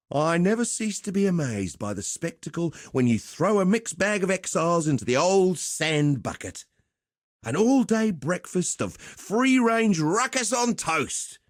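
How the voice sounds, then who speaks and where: A man's voice: sits high, dry recording, clean studio sound, full character voice. A man playing a swaggering, cheerful arena fight promoter in a video game, with theatrical, chummy, slangy patter. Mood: brisk, goading. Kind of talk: video game character voice lines.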